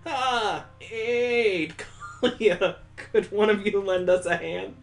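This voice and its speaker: Man doing a high-pitched bossy big-sister girl. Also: slow pace, vocal fry, heavily acted. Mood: pleading.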